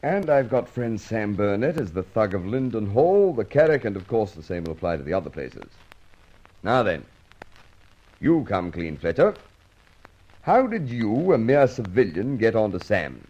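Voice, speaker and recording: An actor playing a gruff, sceptical, no-nonsense police inspector in an old-time radio drama, questioning formally and brusquely. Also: male voice, fairly low pitch, very animated, normal speed, a little mellow. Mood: inquisitive, grudging. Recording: dull, muffled old radio recording.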